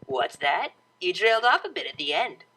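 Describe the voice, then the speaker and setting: A cocky young unicorn DJ from a pony story, acted out by a narrator, bright in tone and lively in pitch. She drawls casually and teases with sly, playful confidence. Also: moderate pace, slight background noise, low-quality recording. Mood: teasing. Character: cocky, playful slacker unicorn mare DJ, teasing.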